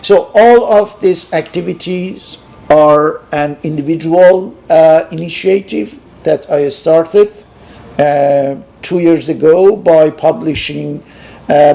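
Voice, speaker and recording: A middle-aged man with a Persian accent, giving a halting, unscripted lecture with many pauses. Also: on the high side, vocal fry, warm tone.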